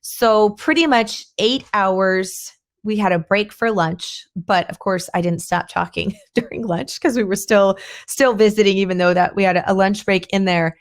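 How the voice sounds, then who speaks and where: A hoarse, middle-aged woman talks casually into her podcast mic. Her delivery is chatty and meandering. She often restarts a thought partway through, as if working it out aloud. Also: medium pitch, fairly even intonation, normal speed. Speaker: podcast host. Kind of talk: casual solo podcast talk.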